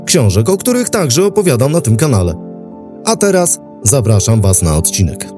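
A man hosting a history podcast, delivering scripted documentary narration in a measured, dramatic storytelling manner. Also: mid-range voice, big pitch swings, music in the background.

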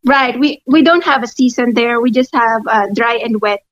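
Guest, woman, soft-spoken and hesitant; high voice, unhurried, lo-fi dull sound. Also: no room sound. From Philippines. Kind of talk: casual chat. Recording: dull lo-fi recording.

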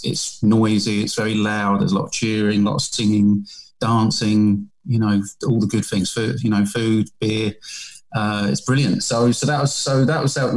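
Man, british accent, interview guest, lowish voice, enthusiastic, rambling, repeats himself. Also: low-quality recording. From Britain. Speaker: guest, painter. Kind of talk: interview answers.

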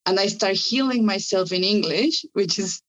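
A woman's voice: medium pitch, moderate pace, highs cut off. A woman whose first language is Spanish, speaking English with a Spanish accent in a casual conversation, eager, with lots of fillers and restarts. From Spanish-speaking country. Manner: enthusiastic, many fillers and restarts.

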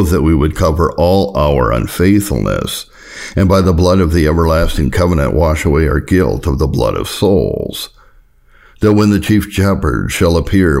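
Deep male narrator, lots of fry in the voice, solemn measured reading of old religious text. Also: a little mellow. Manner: earnest, measured, formal delivery. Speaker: audiobook narrator of Puritan writings. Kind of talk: solemn read-aloud of an old sermon.

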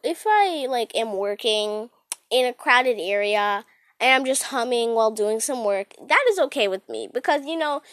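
High-pitched, animated young woman. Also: no room sound, studio quality. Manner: giggly and self-deprecating; animated, dramatic emphasis. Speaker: amateur first-time podcast host. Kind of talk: casual rambling solo chat.

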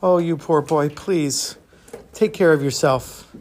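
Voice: medium pitch, slow pace, darkish tone. A storyteller voicing a sympathetic, fussy female ticket seller, questioning and cooing. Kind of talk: oral storytelling character voice.

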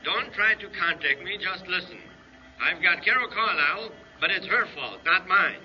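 Nervous high-pitched space crook. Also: male voice, lively intonation, normal speed. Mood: commanding. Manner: agitated, urgent. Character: fugitive space crook, a nervous, sickly, scheming man. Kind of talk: 1950s radio adventure serial acting. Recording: muffled, noisy 1950s radio recording.

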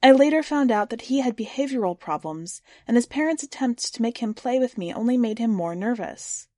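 A woman's voice: on the high side, expressive, a little fast, darkish tone, no echo, clean studio sound. Woman reading a short story aloud. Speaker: podcast host and story reader.

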